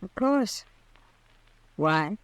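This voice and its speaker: A woman's voice: mid-range voice, sing-song, very slow, smooth, no room sound. A woman playing a plainspoken frontier ranch woman with folksy Western speech, in old-time radio drama style. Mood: guarded.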